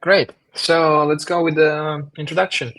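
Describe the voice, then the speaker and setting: Male co-host from Ukraine, nervous and halting, starting a webinar on a call. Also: fairly high pitch, flat delivery, fairly bright tone, low-quality recording. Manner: admits nerves, halting with restarts. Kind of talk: live webinar, casual opening chat.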